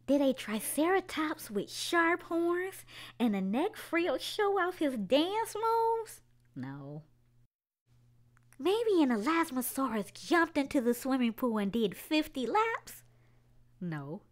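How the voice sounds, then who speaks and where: A woman reading a children's picture book aloud, asking question after question slowly and expressively. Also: high-pitched. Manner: slow, expressive read-aloud with drawn-out questions. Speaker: children's storyteller.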